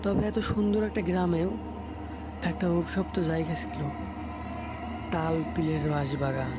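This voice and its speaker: A man's voice: fairly high pitch, fairly even intonation, a little slow. A man narrating a cartoon folk tale in a scripted storytelling style. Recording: dull lo-fi audio under clear music.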